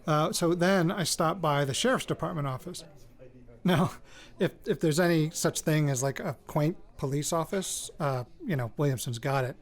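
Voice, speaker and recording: A man's voice: a little high, moderate pace, natural voice. A man with an American accent playing a wry radio reporter in an audio drama, rambling hesitantly into his recorder and interrupting himself. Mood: wry, chatty. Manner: hesitant, self-interrupting, wryly joking. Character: rattled, wry young man, radio reporter returning home.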